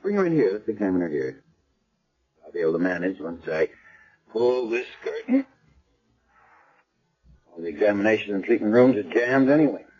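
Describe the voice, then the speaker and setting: An actor playing a middle-aged hospital chief of staff, brisk and condescending, questioning a patient in a businesslike way in a 1970s radio drama. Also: male voice, lowish voice, very animated, darkish tone. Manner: crisp, businesslike bedside questioning. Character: brisk, condescending male doctor in charge. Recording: dull old radio broadcast.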